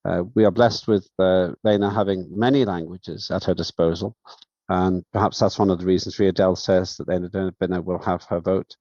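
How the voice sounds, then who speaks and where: A man chairing a parliamentary session over a video call, speaking in a measured, halting way with many fillers. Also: low-pitched, steady tone, dark tone, no room sound. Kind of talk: formal remarks at an online session. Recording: dull, dry lo-fi sound.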